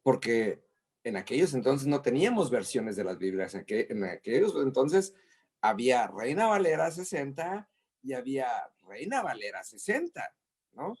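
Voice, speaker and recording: A middle-aged man, a guest in a casual interview, telling stories in an animated way with many fillers and restarts. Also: mid-range voice, moderate pace, slightly rough.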